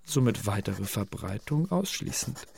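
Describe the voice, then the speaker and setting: An actor playing an intelligence clerk in a radio play, introducing confidential documents in a dry, precise, official manner. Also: male voice, fairly low pitch, sing-song, a little slow, gravelly, noisy background, full character voice. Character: male intelligence clerk introducing confidential secret-service letters.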